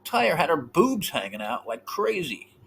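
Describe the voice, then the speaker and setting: A man co-hosting a casual podcast chat, animated and swearing freely, often trailing off mid-thought. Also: fairly high pitch, a little slow, creaky, a little hiss or hum. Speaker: podcast co-host.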